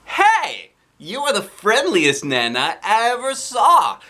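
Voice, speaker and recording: A man voicing a brash, excitable, gushing kindergarten girl in a storybook, childish and over-dramatic. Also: high-pitched, slow pace, pitched above the performer's own voice, full character voice.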